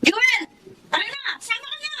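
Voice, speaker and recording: A teasing, bossy young cousin in a Filipino radio drama. Her very high voice calls out over clear background noise. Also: expressive, moderate pace, pushed and bright, full character voice. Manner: calling out, teasing.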